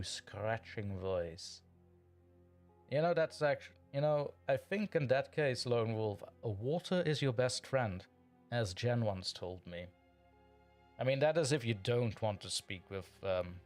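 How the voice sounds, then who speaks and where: Chatty man hosting a livestream. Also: medium pitch. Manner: chatty and playful, often chuckling. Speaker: livestream host reading chat. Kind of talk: casual livestream chat.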